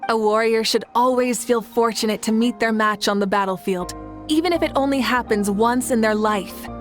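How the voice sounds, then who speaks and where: A woman's voice: a little high, moderate pace, lightly acted. A woman playing a confident, good-humored young female warrior leader in a video game, with an assured, playful delivery. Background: music.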